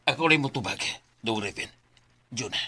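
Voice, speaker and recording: Sharp, husky older Cebuano father. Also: mid-range voice, lively intonation, normal speed. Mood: earnest. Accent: Cebuano Filipino. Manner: earnest, insistent. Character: older father, stubbornly in love again. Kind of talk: Filipino radio drama acting.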